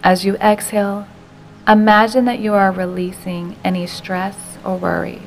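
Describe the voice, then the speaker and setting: Gentle woman guiding a meditation over music. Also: mid-range voice, little pitch movement. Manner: slow, unhurried, gentle delivery with soft breathy phrase endings. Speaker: meditation guide. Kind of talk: guided meditation narration.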